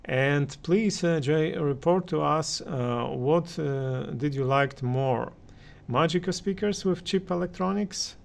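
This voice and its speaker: A man giving unscripted hi-fi commentary as a reviewer, speaking haltingly with many pauses. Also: a little high, creaky. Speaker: audio reviewer and channel host. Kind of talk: unscripted opinion commentary on hi-fi gear.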